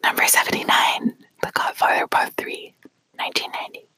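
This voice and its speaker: This is a hushed ASMR whisper, airy and breathy, with a very bright, crisp edge. It moves widely up and down in pitch as it reads each entry, and it sounds close and dry with almost no room around it. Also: fairly quick, no room sound. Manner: soft whispering, quietly acting out each quote before the title, apologizing for slips. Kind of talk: whispered ASMR countdown of film quotes.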